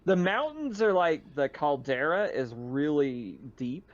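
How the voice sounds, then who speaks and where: A man's voice: a little high, big pitch swings, a little slow, lo-fi sound, a crowd in the background. A man acting as game master, narrating and explaining a tabletop game in a casual, informal way.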